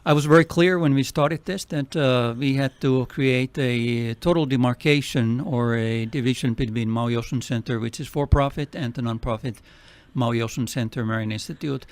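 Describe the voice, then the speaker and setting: A man with a heavy Finnish accent, speaking as a nonprofit president in a radio interview, earnest and halting, with many fillers. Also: mid-range voice, dry recording. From Finland.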